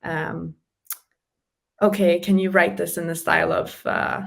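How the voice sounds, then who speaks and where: A woman with a low, slightly creaky voice talks through practical advice in a casual interview. She explains step by step and laughs lightly between her points. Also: fairly even intonation, measured pace. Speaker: guest, communication specialist. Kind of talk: casual interview chat giving practical tips.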